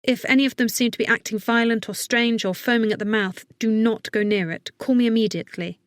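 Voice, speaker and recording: A woman's voice: a little high, fairly even intonation, a little fast, no echo, clean studio sound, natural voice. A woman voicing a worried but capable woman vet in an audiobook, giving firm, urgent, reassuring instructions. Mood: brisk, urgent. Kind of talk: audiobook character acting.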